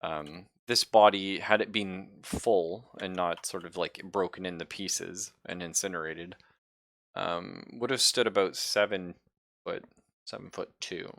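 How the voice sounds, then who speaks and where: A man acting as game master, improvising descriptions and chatting informally, with a halting, casual delivery. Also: low-pitched, vocal fry, fairly bright tone, no echo. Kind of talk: improvised tabletop game narration and casual chat.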